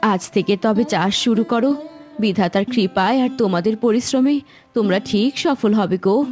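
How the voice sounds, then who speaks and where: A woman voicing a gentle, devout, supportive farmer's wife in a cartoon. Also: mid-range voice, normal speed, close to an everyday voice. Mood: grateful. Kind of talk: cartoon voice acting. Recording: soft sitar music behind the voice.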